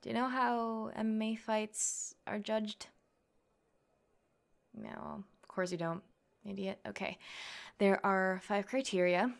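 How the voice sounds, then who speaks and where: Girl doing a cocky mma tsundere classmate for asmr rp, bossy n teasing, medium pitch, tough protector type. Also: lightly acted. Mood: annoyed, bossy. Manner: brash, taunting, bossy, teasing. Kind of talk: ASMR girlfriend roleplay acting.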